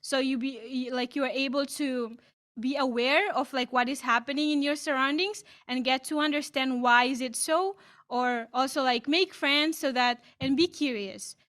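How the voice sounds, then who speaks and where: A woman's voice: high voice, steady tone, fairly bright tone. A young woman from East Timor, whose first language is Tetun, answering questions on a video call with many "like" fillers and restarts. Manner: frequent "like" fillers, halting restarts. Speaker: student panelist. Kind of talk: online Q&A answer.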